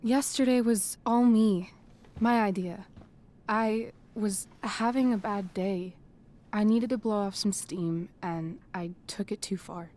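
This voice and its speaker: A woman playing a poised, persuasive teenage girl in a video game, speaking in an earnest, measured way. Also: mid-range voice, warm tone, lightly acted. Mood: contrite, earnest. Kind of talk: naturalistic video game acting.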